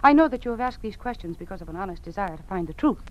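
An actress playing an earnest, troubled young schoolteacher in an old-time radio drama, with careful, precise diction. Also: female voice, medium pitch, expressive, very fast, natural voice. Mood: careful, controlled. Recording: muffled old radio recording.

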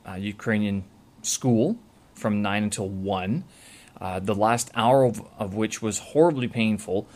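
Man, lower voice, loose rambling vlog monologue full of ums and restarts. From Canada. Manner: rambling, many filler sounds and self-corrections. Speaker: vlogger. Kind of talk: casual monologue to camera.

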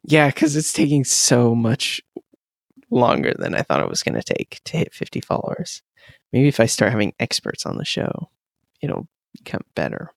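A man's voice: medium pitch, wildly swooping pitch, normal speed, gravelly, no room sound, clean studio sound. A teenage man hosting a casual podcast chat with friends, giggly and self-deprecating, and his voice often cracks. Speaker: podcast host.

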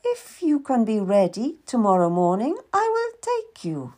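A woman voicing a stern, wealthy middle-aged French cloth merchant woman who talks in a brisk, commanding way. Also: on the high side, wildly swooping pitch, warm tone, exaggerated voice. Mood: brisk, businesslike.

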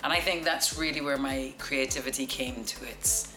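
A woman in her forties with a deep voice, telling stories as an interview guest in a confident, practised broadcaster's way. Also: normal speed. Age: 40s. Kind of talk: relaxed storytelling interview. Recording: echoey room with music behind.

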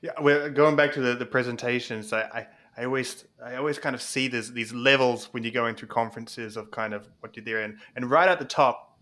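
A young man hosts a casual interview with a bright, forward voice. He talks in a chatty way and pokes fun at himself. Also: mid-range voice, fairly even intonation, fairly quick, few pauses. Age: young adult. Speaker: host.

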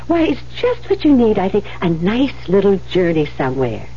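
A woman's voice: mid-range voice, huge pitch swings, moderate pace. An actress playing a kindly, motherly older nun in a 1970s radio drama, fussing and cajoling with affection. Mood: cheerful, reassuring. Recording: dull, noisy 1970s radio recording.

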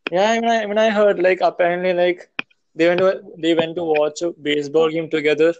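A young man co-hosting a casual podcast over a call, halting and repeating his words. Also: sits high, steady tone, dull audio. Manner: hesitant, repeats words and restarts often. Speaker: podcast co-host. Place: at home in India. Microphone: call audio.

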